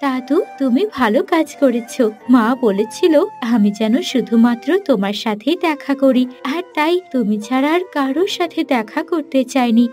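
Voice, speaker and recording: A woman's voice: high-pitched, animated, unhurried, darkish tone, soft background music. A woman voicing a sweet, inquisitive young princess in a fairy-tale cartoon, eager and determined. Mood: sweet, curious.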